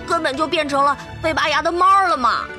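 High-pitched cocky tiger-demon boy, played by a woman. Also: fast talker, music in the background, natural voice. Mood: defiant, sulky. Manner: defiant, whiny. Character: rebellious, cocky young male tiger-demon cub. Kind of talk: audio drama acting.

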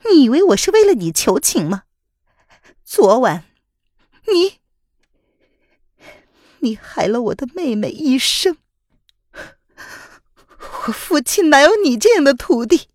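A woman's voice: slow pace, long pauses, no echo, clean studio sound. Woman voicing a tearful, pleading young woman. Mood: bitter. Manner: pleading, tearful, high-strung.

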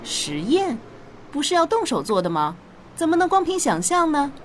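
A woman's voice: sits high, big pitch swings, moderate pace, a little hiss or hum. A woman playing a caring, practical mother in a radio drama. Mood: curious.

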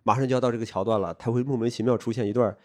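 A man chatting casually on a podcast, animated and breaking into laughter as he tells anecdotes. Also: mid-range voice, racing. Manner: animated, laughs often while telling stories. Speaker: podcast guest.

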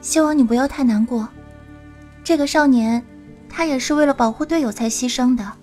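A woman's voice: high-pitched, moderate pace, a little mellow, faint music behind, lightly acted. A woman playing a young adventurer heroine in a Chinese audio drama, tough on the outside and tender underneath. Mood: gentle, consoling.

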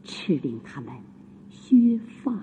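An imperious, scheming empress, slow and lilting. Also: female voice, low-pitched, very animated, warm tone, exaggerated voice. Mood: cold, hushed. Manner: commanding, deliberate, insinuating. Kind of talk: theatrical Chinese radio drama acting. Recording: noisy phone-like sound in long reverb.

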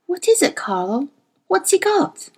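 A woman's voice: very high voice, very animated, unhurried, voice pushed up, exaggerated voice. A woman playing a silky, coaxing seductress. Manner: soft, purring, coaxing.

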